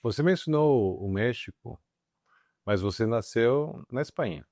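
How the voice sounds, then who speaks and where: A man's voice: medium pitch, animated, unhurried, darkish tone, no room sound, studio quality. A man hosting an interview, asking brief questions in a casual, playful way. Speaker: interview host.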